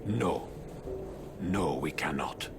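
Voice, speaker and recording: A man voicing a grave elderly rat father and master in a video game, solemn, measured and formal, with a gravelly voice. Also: very deep voice, lively intonation, music in the background, exaggerated voice. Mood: solemn worry. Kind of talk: video game character acting.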